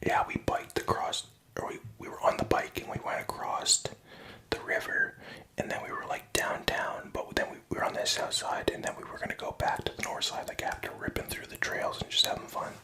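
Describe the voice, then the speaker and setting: A man's voice: fairly quick, bright, forceful tone. A young man whispering a rambling personal story, profane and self-mocking.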